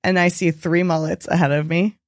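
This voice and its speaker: A woman's voice: fairly low pitch, flat delivery, fairly quick, no room sound, studio quality. A woman co-hosting a podcast, chatting casually and laughing often. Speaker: podcast co-host. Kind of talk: casual chat.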